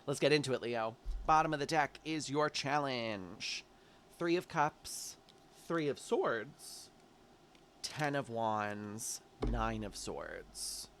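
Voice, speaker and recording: Congested man with a gravelly voice. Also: mid-range voice, lively intonation, slow pace, slight background noise. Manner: chatty, warm-hearted, talks straight to viewers. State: stuffy, congested from allergies. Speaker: tarot reader. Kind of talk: casual monthly tarot forecast.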